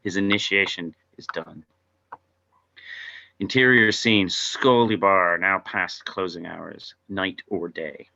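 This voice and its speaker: A man narrates stage directions at a table read. His voice is fairly low but bright and forceful. The recording is somewhat lo-fi. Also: fairly even intonation, slow, creaky.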